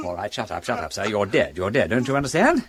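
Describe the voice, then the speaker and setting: An actor playing an eccentric, playful English aristocrat detective with an upper-class accent, whimsical, wordy and commanding in a radio drama. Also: male voice, fairly low pitch, wildly swooping pitch, fast talker, a little hiss or hum. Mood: playful, busy.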